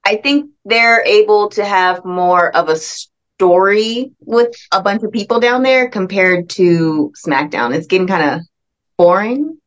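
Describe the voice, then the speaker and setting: An upbeat young woman chatting as a podcast guest, easy and quick to laugh. Her voice sits at a middle pitch, and the audio is a bit dull and lo-fi. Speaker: guest, aspiring wrestling journalist. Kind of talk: casual podcast interview.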